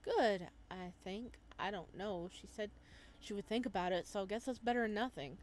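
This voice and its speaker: A woman's voice: mid-range voice, normal speed, darkish tone, natural voice. A woman voicing an awkward, reluctant young man, the hero of a visual novel, in a hesitant, casual manner.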